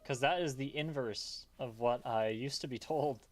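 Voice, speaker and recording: A young man streaming a game, chatting casually with a calm, relaxing voice, rambling loosely and swearing often. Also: mid-range voice, normal speed. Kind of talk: casual gaming stream chat.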